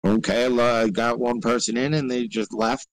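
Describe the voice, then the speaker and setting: A man's voice: medium pitch, fairly even intonation, normal speed, dry recording, rough recording. An American man from New York chatting casually in a live audio chat, blunt and given to swearing. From New York, United States.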